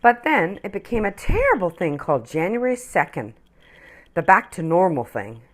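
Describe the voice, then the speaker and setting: Low-pitched, very expressive woman storyteller. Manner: expressive, dramatic pauses. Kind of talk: children's picture book read-aloud.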